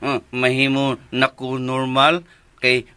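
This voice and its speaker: An actor playing a childlike, simple-minded grown man in a radio drama, stammering in halting, broken phrases and slow to understand. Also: medium pitch, completely flat delivery, sharp tone, clear, resonant tone. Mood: confused, earnest.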